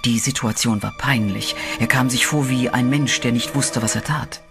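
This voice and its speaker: A man narrates a horror audio play in a dramatic, scripted manner. His voice sits at a middle pitch, with music clearly playing behind it. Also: steady tone, moderate pace.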